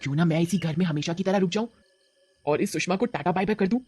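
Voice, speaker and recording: A man's voice: high voice, fast talker, slightly rough, no echo. A man voicing a sly female witch who poses as a servant woman, with a wheedling manner, in a horror story. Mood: gloating. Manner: wheedling, flattering.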